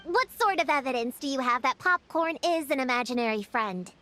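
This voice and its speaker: A woman's voice: high voice, lively intonation, a little fast, background noise. A woman voicing a prim, skeptical know-it-all girl in a video game, dry and questioning. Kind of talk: video game character acting. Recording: game music behind the voice.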